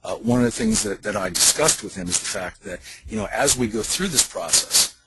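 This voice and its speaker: Low-voiced man pitching, emphatic. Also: animated, fairly quick, heavy background noise. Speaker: company executive addressing sales leaders. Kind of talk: promotional conference call presentation. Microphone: telephone conference call.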